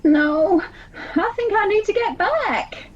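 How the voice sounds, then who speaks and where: A woman playing an emotional, tired, guarded young woman in an audio play, halting and dramatic. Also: very high-pitched, expressive, slight background noise, exaggerated voice. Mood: dazed, weary. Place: recorded at home during lockdown. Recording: muffled home recording.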